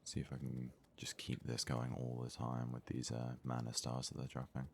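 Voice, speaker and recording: A man doing hushed ASMR gaming commentary with a gravelly, husky voice, casually musing aloud close to the microphone. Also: extremely low pitch, completely flat delivery, moderate pace, slight background noise. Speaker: let's play host.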